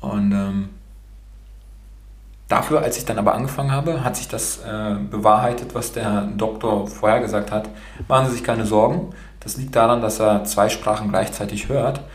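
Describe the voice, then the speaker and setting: A man's voice: on the low side, steady tone, normal speed, reverberant. A man from Romania, raised bilingual in Germany, with a rolled R, talking frankly and casually as a podcast host, swearing now and then and using many fillers. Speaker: podcast host being interviewed. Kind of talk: casual motivational talk.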